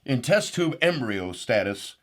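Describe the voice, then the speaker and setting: A man playing an excitable, paranoid male conspiracy pundit who speaks with indignant outrage. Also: a little slow. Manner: indignant, excitable, outbursts of rising pitch. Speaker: show regular. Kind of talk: comedic parody show.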